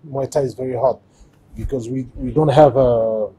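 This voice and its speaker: A French-accented man in his forties talks in short, halting phrases, stopping often to search for words. His voice is mellow and husky. He sits in a boxing ring inside a gym. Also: medium pitch, fairly even intonation, poor audio. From Cameroon. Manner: halting, simple phrasing with many fillers. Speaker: guest, former fighter. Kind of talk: casual interview.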